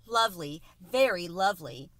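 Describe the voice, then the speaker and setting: A woman's voice: fairly low pitch, lively intonation, normal speed, a bit bright, no room sound. A woman voicing a big, easygoing male cat in a children's storybook, friendly, gracious and a little wry. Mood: appreciative.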